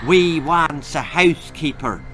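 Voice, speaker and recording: A man's voice: fairly high pitch, a little slow, over music, pitched above the performer's own voice, natural voice. A man voicing a sly, scruffy little leprechaun with a folksy Irish brogue in a children's story. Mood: menacing.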